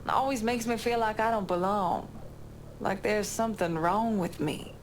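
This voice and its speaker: A woman's voice: medium pitch, moderate pace, slight background noise, close to an everyday voice. An actress playing a tough, foul-mouthed woman with a casual, streetwise manner in a video game cutscene. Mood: insecure. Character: tough, foul-mouthed woman, teasing old friend, privately insecure.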